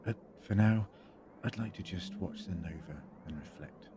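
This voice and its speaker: A man's voice: low voice, normal speed, noisy background, lightly acted. A man playing a weary, sombre time traveller in an audio drama, speaking in a grave, reflective way. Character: weary, sombre time-travelling male hero, burdened by guilt.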